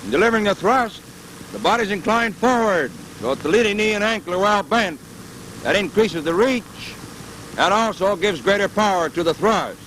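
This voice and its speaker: A man giving brisk, commanding military drill instruction with barked orders, on a vintage training film soundtrack. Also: high-pitched, expressive, fairly bright tone. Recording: noisy vintage 1930s-40s training film.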